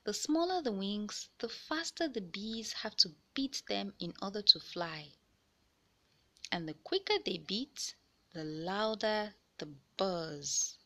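A woman reading a children's science picture book aloud in an expressive, deliberate storyteller style for young listeners. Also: medium pitch. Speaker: read-aloud narrator of children's books.

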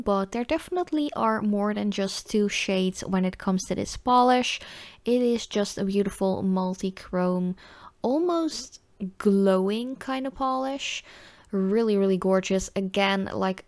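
A woman's voice: medium pitch, darkish tone, dry recording. A woman who is a native Dutch speaker with a Dutch accent, giving a casual product review in a chatty, informal way. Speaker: nail polish reviewer.

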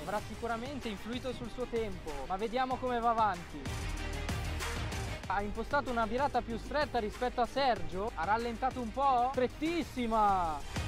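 A young man giving excited commentary on a boat race, counting down the starts and calling the action from a boat at sea. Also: very high voice. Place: outdoors on a boat at sea, then a boat show stand.

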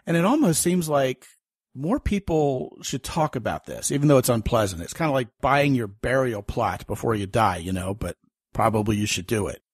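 A man in his thirties hosts a podcast in easy, storytelling chat. He jokes along the way. His voice is slightly husky and the sound is close and dry. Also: medium pitch, normal speed, dry recording. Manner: chatty, storytelling, joking. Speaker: podcast host. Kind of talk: casual interview chat.

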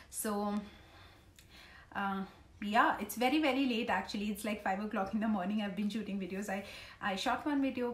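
A woman in her thirties with an Indian accent, chatting informally and ramblingly to camera as a vlogger in her living room. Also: mid-range voice. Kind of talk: casual chit-chat to camera. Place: small living room at home, indoors. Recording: roomy home living room echo.

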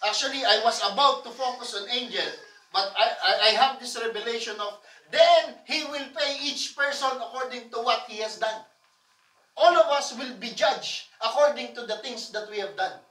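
A man, preaching loudly and emphatically to a congregation, often asking for amens. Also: very high voice, moderate pace. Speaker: pastor. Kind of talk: sermon. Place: church stage with colored lights. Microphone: far camera. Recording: echoey room from far off.

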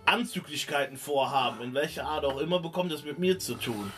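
A man's voice: a little high, expressive, fairly quick, fairly bright tone, distant mic, faint music behind. A man playing a blustering, protective paladin in a tabletop game, speaking sternly and formally. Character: blustering, protective male paladin warning a rival.

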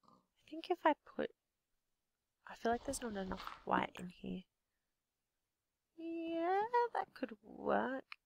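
A young Australian woman with a slightly croaky voice, half-whispering to herself as she commentates her building game. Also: fairly high pitch, very animated, slow pace, long pauses, a little hiss or hum. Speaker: let's play gamer. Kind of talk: casual gameplay commentary.

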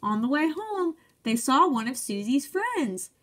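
A young woman with a high-pitched voice reads a story for small children. Her delivery swoops up and down with lots of melody. She speaks simply and encouragingly, as a teacher does with early readers. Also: very animated, unhurried, a bit bright. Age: young adult. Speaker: teacher reading aloud to children. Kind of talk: children's picture-book read-aloud with questions to listeners.

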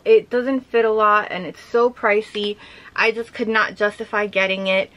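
A young woman chatting casually as she shows off items from her collection, gushing with lots of filler words and a slightly hoarse voice. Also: mid-range voice, pushed and bright, poor audio. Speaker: vlogger showing her collection. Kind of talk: casual show-and-tell chat.

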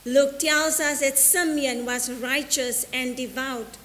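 High-voiced woman preaching in a reverberant church. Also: steady tone, a bit bright. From South Africa. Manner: measured, deliberate preaching with slow quotations. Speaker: priest. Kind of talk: sermon. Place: church sanctuary in front of an altar. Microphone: stand mic at the altar, filmed by a fixed camera. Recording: long church reverb with faint hiss.

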